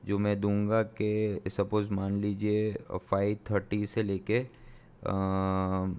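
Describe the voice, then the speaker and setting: A young man, a sound engineer from India, explains software step by step in a tutorial, thinking aloud with many fillers and restarts. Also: on the low side, completely flat delivery, slow, smooth. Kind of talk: screen-recorded software tutorial.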